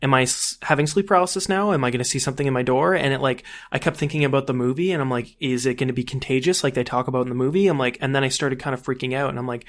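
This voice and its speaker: A man's voice: mid-range voice, rapid-fire, hardly any pauses, fairly bright tone. A man chatting casually as a podcast guest, in a rambling, anecdotal way. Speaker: podcast guest who hosts his own podcast. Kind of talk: casual chat.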